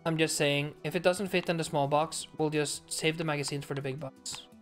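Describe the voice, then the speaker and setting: Male streamer, light soft voice, laid-back chatter over a call, faint background music. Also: on the high side, steady tone, a little fast. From Norway. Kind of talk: casual chat on stream.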